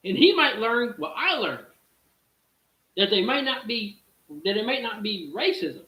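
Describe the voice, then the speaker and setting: High, bright-voiced man musing aloud. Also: sing-song, unhurried, roomy sound. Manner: thinks aloud with restarts and rhetorical questions. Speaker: streamer host. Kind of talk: casual live-stream talk.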